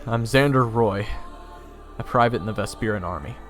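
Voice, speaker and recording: A man playing a young army private in a fantasy audio roleplay, casual, halting and dryly sarcastic. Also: lowish voice, faint music behind, close to an everyday voice. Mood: dry, defiant. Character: young army private hero, unimpressed, cheeky and deadpan. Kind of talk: fantasy audio roleplay acting with first-person narration.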